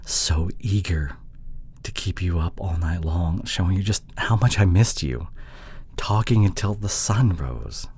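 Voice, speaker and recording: A man playing an apologetic, insecure boyfriend in an intimate audio drama, speaking haltingly with sighs and breathy murmurs. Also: low voice, darkish tone, full character voice. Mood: tender, longing. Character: apologetic, pleading long-distance boyfriend, insecure young man. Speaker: audio roleplay voice actor.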